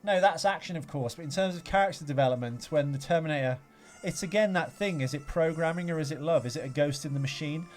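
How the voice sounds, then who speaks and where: A man's voice: on the high side, fast talker, few pauses. A man co-hosting a casual film discussion podcast, explaining his ideas at length in a rambling, analytical way. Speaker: podcast co-host. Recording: music playing in the background. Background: film soundtrack music.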